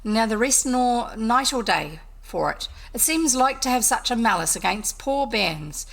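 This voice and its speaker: A woman's voice: fairly high pitch, lively intonation, measured pace, gravelly, a bit bright, heavily acted. A woman voicing a weary, put-upon old male farmer, reading his dialect lines aloud in her own voice without putting on an accent. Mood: complaining.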